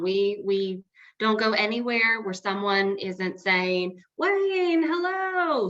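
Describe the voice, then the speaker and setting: A woman's voice: mid-range voice, a bit bright. A woman hosting an online meeting, upbeat and coaxing people to speak up. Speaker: meeting host. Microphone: video call. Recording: dull lo-fi call audio.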